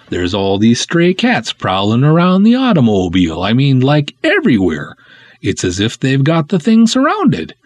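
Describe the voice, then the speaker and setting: Man playing an excitable cop, chatty, slangy, folksy, animated pitch, serial story reading, dry sound. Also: husky, dry recording.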